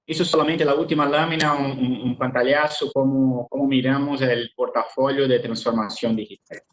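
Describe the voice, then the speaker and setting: A man's voice: mid-range voice, fairly even intonation, a little fast, rough recording. A middle-aged man from Brazil with a Brazilian Portuguese accent, giving an online business presentation with many "ah" fillers and repeated words. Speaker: webinar speaker.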